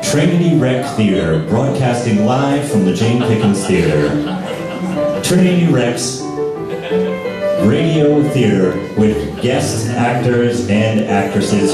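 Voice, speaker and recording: A man making a formal radio show announcement in an echoey theatre over loud plucked guitar music. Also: lowish voice. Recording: echoey hall from far off, music behind.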